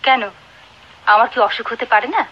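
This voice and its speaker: A woman's voice: a little high, moderate pace, lightly acted. A woman playing a wealthy, mysterious lady in a Bengali radio play, poised, commanding and playfully teasing. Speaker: radio drama actor. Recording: noisy, muffled old radio drama recording.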